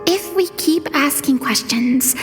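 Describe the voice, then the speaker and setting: A woman's voice: sits high, lively intonation, measured pace, music in the background. An actress playing a sensible, analytical young woman in a video game, talking in a thoughtful, matter-of-fact way. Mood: thoughtful, practical.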